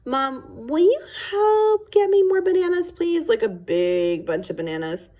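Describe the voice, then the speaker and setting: A woman's voice: high-pitched, big pitch swings, measured pace, warm tone, voice pushed up. A woman voicing an eager, earnest little girl in a storybook, with an emphatic, pleading manner.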